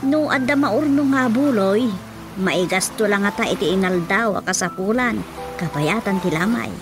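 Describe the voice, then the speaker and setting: A woman's voice: mid-range voice, moderate pace, lightly acted. An actress playing a chatty, laughing village mother with an Ilocano accent in a radio drama. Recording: music under the voice.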